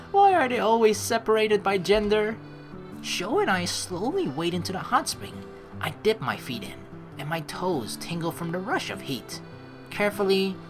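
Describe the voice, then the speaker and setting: Low-pitched woman, expressive delivery, let's play narration and casual asides, faint game music behind. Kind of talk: reading game narration aloud with casual commentary.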